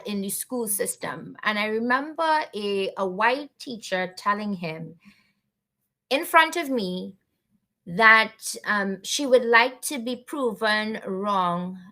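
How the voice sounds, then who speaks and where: Woman, podcast guest, slow pace, bright tone, reflective, earnest, hesitant restarts. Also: mid-range voice. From Canada. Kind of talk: conversational podcast interview.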